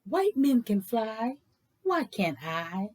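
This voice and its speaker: A woman's voice: a little high, sing-song, slow pace, a little mellow, heavily acted. A woman reader voicing a brave, eager young female aviator with rousing, declamatory calls. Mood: defiant.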